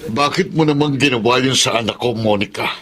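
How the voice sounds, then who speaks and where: A man's voice: mid-range voice, a little fast, sharp tone, faint music behind, natural voice. A Filipino actor playing an aggrieved, pleading elderly father in a radio drama. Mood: reproachful, pleading.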